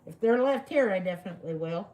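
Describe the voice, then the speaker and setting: A hoarse woman, chatting casually while hosting a woodworking livestream and laughing at herself. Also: on the low side, lively intonation, fast, dark tone.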